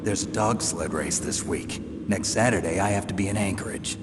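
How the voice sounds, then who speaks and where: A man playing a gruff, cynical retired special forces soldier in a video game, terse and sardonic. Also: normal speed, heavy background noise, natural voice. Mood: reluctant, sardonic. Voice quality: low, gruff. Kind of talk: dramatic video game voice acting. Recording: long hall-like reverb.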